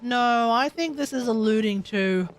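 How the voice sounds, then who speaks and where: A woman giving casual live reactions as a game streamer, musing in a halting, slangy way. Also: on the high side, poor audio. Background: game music.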